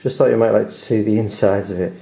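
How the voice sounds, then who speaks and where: A man's voice: fairly low pitch, steady tone, fast, vocal fry, mellow. A British man giving a casual, unscripted hands-on demonstration, rambling a little and often repeating words as he goes. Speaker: video host showing a repair. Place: indoors at home. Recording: roomy, echoing indoor home recording.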